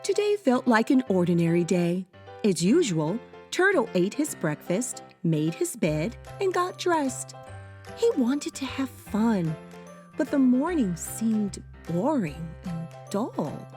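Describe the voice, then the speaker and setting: Female picture-book storyteller, unhurried and very animated delivery, children's music underneath. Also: mid-range voice. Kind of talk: expressive read-aloud of children's picture book.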